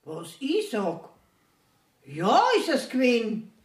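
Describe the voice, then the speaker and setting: A woman's voice: on the high side, sing-song, slow, heavily acted. An actress playing a quarrelsome, insistent peasant mother in a Bavarian dialect radio play, emphatic and contrary, in a roomy, echoing space.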